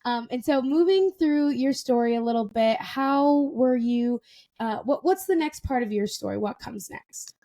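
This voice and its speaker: A woman in her twenties hosting a casual podcast interview, enthusiastic and quick to laugh, often repeating words for emphasis. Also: on the high side, warm tone. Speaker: podcast host.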